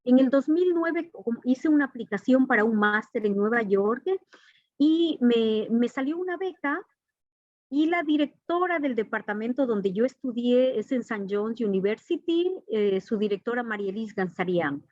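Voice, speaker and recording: A woman's voice: fairly high pitch, expressive, normal speed, a little mellow. A woman from Ecuador, giving earnest, formal, explanatory answers as an interview guest. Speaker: interview guest, artist and professor. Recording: dull, echoey lo-fi call audio.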